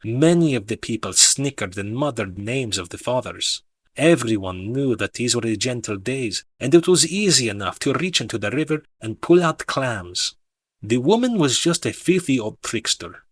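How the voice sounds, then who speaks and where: A man narrating an audiobook in a measured, dramatic storytelling manner. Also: mid-range voice, lively intonation, fairly bright tone, slightly rough, no room sound. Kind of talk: expressive scripted audiobook narration.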